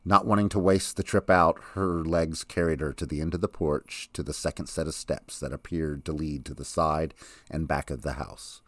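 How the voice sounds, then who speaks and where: Very deep male audiobook narrator. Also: steady tone, moderate pace, a bit bright, dry recording. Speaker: author reading own audiobook.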